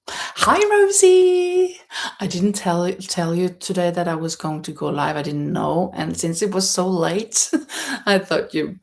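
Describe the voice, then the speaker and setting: A woman from Norway with a Norwegian accent, hosting a casual livestream and chatting in a rambling, hesitant way as she reads out viewer comments. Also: on the low side. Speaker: livestream host. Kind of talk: casual chat while knitting, reading out viewer comments. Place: indoors at home in a holiday stay.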